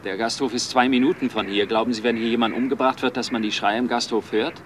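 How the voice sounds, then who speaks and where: A man's voice: mid-range voice, fairly even intonation, fast talker, close to an everyday voice. Businesslike police detective man acting, noisy outdoors. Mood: probing. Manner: questioning, businesslike. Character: male police detective, probing and polite. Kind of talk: German crime radio play acting. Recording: noisy outdoor scene ambience.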